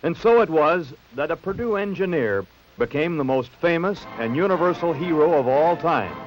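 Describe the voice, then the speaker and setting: An American man reading scripted narration for a late-1960s newsreel in a measured, polished announcer delivery. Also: on the high side, lively intonation, dull audio. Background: background music.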